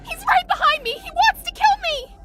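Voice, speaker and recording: An actress voicing a terrified hospital patient, crying out in panic in a visual novel horror scene. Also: female voice, very high voice, animated, moderate pace, sharp tone, soft background music, exaggerated voice. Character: terrified female hospital patient fleeing a killer.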